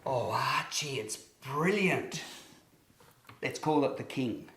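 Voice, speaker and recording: A man voicing earnest, excitable little schoolboys in a storybook, with an eager, childlike and emphatic delivery. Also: mid-range voice, takes it slowly, slight background noise. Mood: excited, admiring. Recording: roomy, echoing sound.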